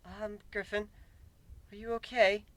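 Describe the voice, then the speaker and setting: A man voicing a gentle, dignified pony princess, soft-spoken, hesitant and easily flustered, in a fantasy story. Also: high-pitched, little pitch movement, a little slow, no echo, pitched above the performer's own voice. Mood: concerned.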